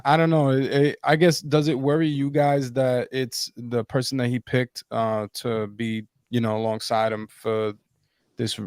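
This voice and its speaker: A man hosting a casual political chat over a video call, pausing with lots of fillers and restarts. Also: medium pitch, clean tone, no room sound, studio quality. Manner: halting, many fillers and restarts. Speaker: podcast host.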